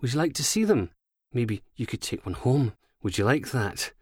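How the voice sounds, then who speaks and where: Man acting a shifty, nervy older creep, raised pitch. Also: big pitch swings, normal speed, breathy edge, no echo, clean studio sound. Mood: wheedling, creepy. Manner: wheedling, turning to panicky protest. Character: shifty older male creep with grey hair, nervous and wheedling.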